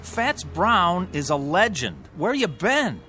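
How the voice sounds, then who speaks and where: An actor playing a cocky, obsessed young pool shark in a radio drama, with a brash, insistent, slangy way of talking. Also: male voice, high-pitched, huge pitch swings, slow, bright, forceful tone, slight background noise, heavily acted. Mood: boastful.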